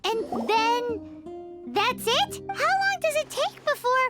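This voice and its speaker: Casting: tiny childlike game mascot, bubbly, curious, piping squeaky voice, prominent music underneath. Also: female voice, big pitch swings, takes it slowly, full character voice. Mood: curious, excited. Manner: bubbly, exclamatory. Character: tiny childlike fairy companion, perky, curious and chatty. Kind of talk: scripted video game dialogue. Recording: loud game music behind voice.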